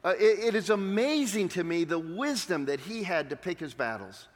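A male pastor preaching in an emphatic, rhetorical way, his voice sitting a little high for a man. His words ring out with a long hall reverb, as if he is standing in a large church auditorium. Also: very animated, moderate pace. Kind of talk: sermon.

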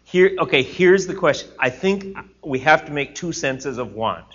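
Bright-voiced man teaching a class. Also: fairly high pitch, expressive, moderate pace, slightly rough, dull audio. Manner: earnest, thinking aloud with restarts. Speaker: teacher leading a class discussion. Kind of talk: classroom lecture with questions.

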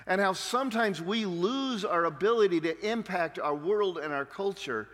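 A male pastor preaching in an emphatic, rhetorical way, his voice sitting a little high for a man. His words ring out with a long hall reverb, as if he is standing in a large church auditorium. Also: moderate pace, a bit bright. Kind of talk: sermon.

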